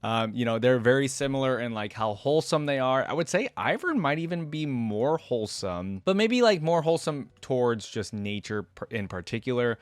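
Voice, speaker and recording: A man's voice: medium pitch, sing-song, moderate pace, few pauses, clear, resonant tone, dry recording. A man giving casual reaction commentary as a host, thinking aloud as he goes. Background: music.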